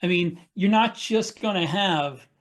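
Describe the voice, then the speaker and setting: A man talking casually as a podcast panelist, with a halting, rambling delivery. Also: high-pitched.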